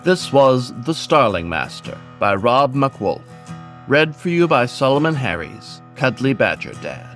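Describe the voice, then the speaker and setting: Male podcast host, medium pitch, scripted announcing, faint plucked guitar behind. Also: sing-song, a little slow. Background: soft guitar music.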